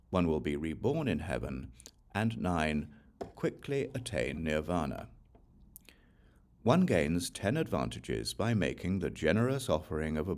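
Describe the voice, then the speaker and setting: A man with a low voice narrates a scripture audiobook. His delivery is slow and deliberate, with clear pauses, yet his pitch moves up and down with lively inflection. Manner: deliberate, enumerating each point with pauses. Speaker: audiobook reader. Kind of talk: slow, measured reading of scripture.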